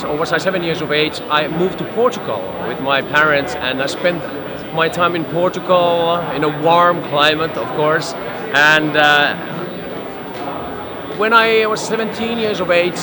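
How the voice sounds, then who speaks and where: A middle-aged man from Finland, a photographer telling stories in an interview on a busy trade show floor. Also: fairly high pitch. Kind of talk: casual interview storytelling. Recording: loud crowd noise behind.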